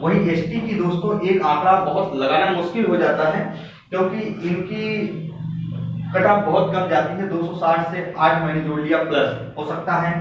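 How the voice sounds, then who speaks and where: A man with a fairly high voice teaches students in an informal, insistent style, repeating his points. He sounds set back from the microphone in a heavily echoing room. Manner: emphatic, repetitive, directly addressing listeners. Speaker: exam coaching teacher. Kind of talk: informal exam-update lesson for students. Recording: very echoey room, distant mic, dull, faint noise.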